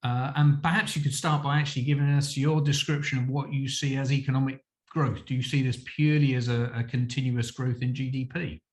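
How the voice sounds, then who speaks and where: Male moderator, mid pitch, halting, recorded in an echoey room. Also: fairly even intonation. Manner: halting, many ums and uhs. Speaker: panel chair. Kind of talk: moderating a panel discussion.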